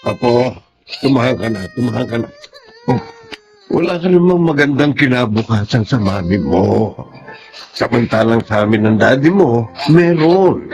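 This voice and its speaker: Casting: actor as creaky-voiced grandfather, lively delivery, coaxing and controlling, radio drama with music. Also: medium pitch, normal speed, long pauses, natural voice. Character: controlling older grandfather, coaxing and self-justifying. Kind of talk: Filipino radio drama acting.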